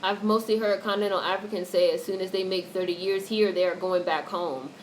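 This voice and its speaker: A woman's voice: mid-range voice, fairly even intonation, brisk. Blunt woman livestreamer, noisy audio. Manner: blunt, opinionated, swears freely. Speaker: livestream host reading and answering chat comments. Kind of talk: casual livestream commentary.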